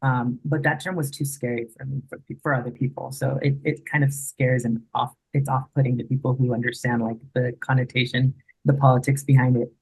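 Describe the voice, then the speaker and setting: Husky-voiced man, reflective and dry. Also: mid-range voice, level tone, a little fast, hardly any pauses, a little mellow, no echo, low-quality recording. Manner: reflective, thoughtful, hesitant restarts. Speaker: guest. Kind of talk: casual conversational interview.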